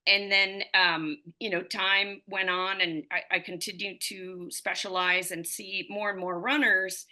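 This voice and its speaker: A woman's voice: medium pitch, little pitch movement, a little slow, pushed and bright. A woman in her fifties, a running coach and physical therapist, telling her story as a podcast guest in a loose, rambling way full of fillers. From United States. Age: 50s. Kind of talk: conversational podcast interview.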